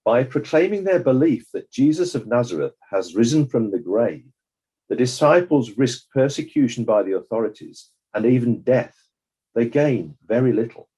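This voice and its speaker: A man playing a measured, judicious coroner, delivering a formal, deliberate summing-up in a dramatized courtroom inquiry. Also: medium pitch, lively intonation, mellow, husky, low-quality recording. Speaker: presiding judge of inquiry.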